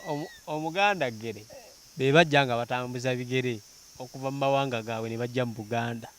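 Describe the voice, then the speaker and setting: A man's voice: mid-range voice, a bit bright. A man with a Ugandan accent, hosting a casual, teasing interview chat. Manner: playful, teasing, quick to laugh.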